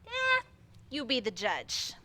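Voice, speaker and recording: An actress as a playful 1950s wife chatting in a stage play. Her voice is high, bright and expressive, swinging widely as she teases. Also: takes it slowly, a little hiss or hum, heavily acted. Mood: chatty, playful. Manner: teasing, expressive. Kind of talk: conversational staged play reading.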